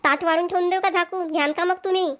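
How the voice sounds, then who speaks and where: A woman's voice: very high-pitched, steady tone, quick pace, a bit bright. A woman with a Varhadi Marathi accent voicing a headstrong, argumentative middle-aged village wife in a cartoon comedy. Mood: defiant. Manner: argumentative, colloquial. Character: headstrong, talkative village wife and mother, farm labourer.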